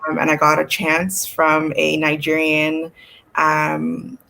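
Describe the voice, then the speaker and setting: A woman's voice: low voice, slow pace, vocal fry, rough recording. Candid Canadian woman telling stories. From Toronto, Canada. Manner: candid, animated quoting of others, frequent "like". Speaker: guest, artist and curator. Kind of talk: casual conversational storytelling.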